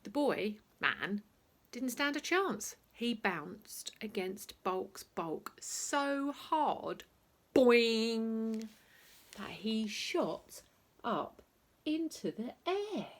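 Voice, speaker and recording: A woman narrating a children's bedtime story in an expressive, theatrical way, playing up the sound words. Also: fairly high pitch, extremely slow.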